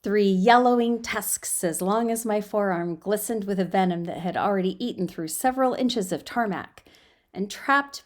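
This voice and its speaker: Woman, amateur story reader, casual and chatty, mid-range voice, self-deprecating, home guest room, laptop microphone. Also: medium pitch. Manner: informal, self-deprecating, fidgety. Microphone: built-in laptop microphone.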